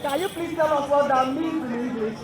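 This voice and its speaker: A woman emcees an outdoor festival, emphatically repeating and explaining answers. Her high voice rings through an echoey loudspeaker and sounds distant. A crowd murmurs steadily behind her. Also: brisk. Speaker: emcee quizzing children. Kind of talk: public address at an outdoor festival. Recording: echoey amplified sound from afar over crowd. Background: crowd chatter.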